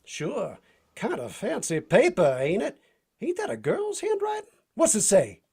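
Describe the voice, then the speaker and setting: A man's voice: extremely high pitch, wildly swooping pitch, unhurried, vocal fry, mellow, breathy edge, heavily acted. A man acting a brash, ornery, teasing adventurer in an old-time radio drama, with an American accent and folksy drawling slang.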